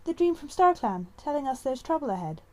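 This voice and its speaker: A woman's voice: high voice, very animated, brisk, pitched above the performer's own voice. A woman voicing an anxious, earnest young female cat in an audiobook, uncertain and flustered.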